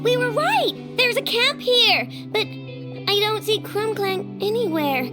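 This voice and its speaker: A woman voicing an excitable, childlike little companion with a very high, squeaky voice, in video game dialogue. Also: measured pace. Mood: excited. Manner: eager exclamations. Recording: game audio under loud music.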